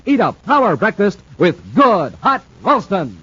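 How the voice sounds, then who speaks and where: A man's voice: sits high, wildly swooping pitch, a little slow, fairly bright tone, husky. A man announcing a 1950s radio serial with scripted, dramatic and emphatic delivery. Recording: muffled old-time radio recording.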